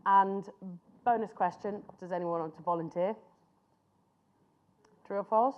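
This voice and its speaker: A woman's voice: medium pitch, darkish tone, lo-fi sound. Woman giving a class. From Finland. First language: Finnish. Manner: conversational, pauses to search for words. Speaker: conference speaker. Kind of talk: master class presentation.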